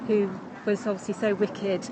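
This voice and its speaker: A woman's voice: mid-range voice, flat delivery, normal speed, background noise. An English woman with a chatty manner, talking casually while walking outdoors by a lake and streaming live from a phone. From England. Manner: chatty, with frequent fillers and laughs. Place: outdoors by a busy lakeside.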